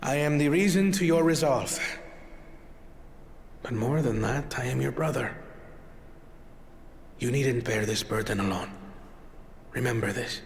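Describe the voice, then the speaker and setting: An actor voicing a grave, reassuring, protective brother in a video game, with a gravelly voice. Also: male voice, medium pitch, unhurried, long pauses, slight background noise. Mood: solemn reassurance.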